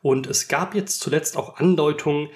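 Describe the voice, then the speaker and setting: A man's voice: a little high, normal speed. A man co-hosting a podcast in a conversational style. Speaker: podcast co-host.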